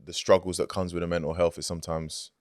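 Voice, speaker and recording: A man's voice: low voice, little pitch movement, fast, darkish tone, no echo, studio quality. A young man, a hip-hop artist, talking casually in an interview, laid-back and full of "like" and "you know what I mean". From Greek heritage, grew up outside Greece. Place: recording studio.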